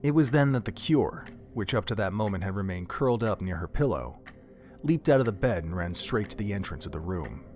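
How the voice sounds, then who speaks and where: A man's voice: fairly low pitch, expressive, brisk, a little mellow. A man reading scripted narration for an audio drama in a solemn, literary storytelling manner.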